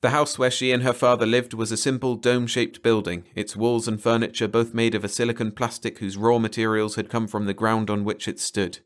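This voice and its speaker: A man's voice: fairly low pitch, level tone, fairly quick, few pauses. A man reading a short story aloud as a scripted bedtime audiobook narration.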